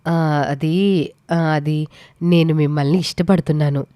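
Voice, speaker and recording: A woman's voice: sits low, fairly even intonation, a little slow, dark tone, no echo. A woman playing a polite, reserved young woman with a hesitant manner in a story drama. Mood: shy.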